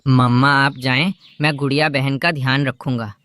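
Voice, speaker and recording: A man's voice: medium pitch, fairly even intonation, moderate pace, bright, forceful tone, no echo, close to an everyday voice. A man voicing an obedient little boy bird in a children's cartoon. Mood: dutiful.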